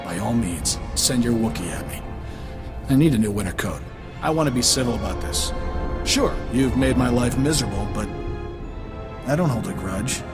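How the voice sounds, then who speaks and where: An actor playing a smug rival villain in a video game, sardonic and mock-civil. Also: male voice, mid-range voice, expressive, moderate pace, pauses often, music in the background, natural voice. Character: smug, taunting male rival smuggler villain. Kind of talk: video game character acting.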